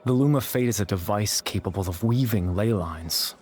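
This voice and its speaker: An actor playing a melancholy, resigned being in a video game, explaining things in a measured, formal way. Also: male voice, on the low side, darkish tone, lightly acted. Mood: somber, resigned. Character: melancholy male being resigned to his sacrifice, calmly explanatory. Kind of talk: dramatic video game voice acting.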